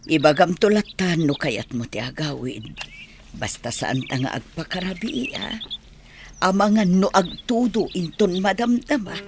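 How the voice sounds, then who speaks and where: A woman with an Ilocano accent playing a gentle, solemn mother comforting a grown son in a Filipino radio drama. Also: lowish voice, measured pace, noisy background. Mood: gently concerned. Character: consoling, protective parent of a grown widowed son.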